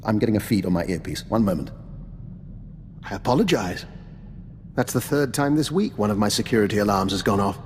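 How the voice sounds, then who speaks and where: An actor playing an urbane, calculating old doctor and veteran spy in a video game, with a refined, courteous, understated manner. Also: male voice, on the low side, expressive, brisk, long pauses, big-room sound, a little hiss or hum, natural voice. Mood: unconcerned, wry. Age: older. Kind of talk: video game dialogue acting.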